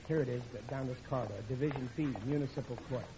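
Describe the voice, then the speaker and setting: An actor playing a bumbling, shifty working-class husband with an American accent in 1940s radio comedy style. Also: male voice, medium pitch, fairly even intonation, very fast, over loud music, lightly acted. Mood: nervous reassurance. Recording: muffled, very noisy old radio recording.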